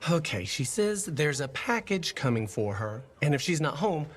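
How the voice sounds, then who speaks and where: A friendly, nervous bartender in a video game. His voice is on the high side and very animated. He talks in an earnest, hesitant, chatty way over faint background noise. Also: moderate pace.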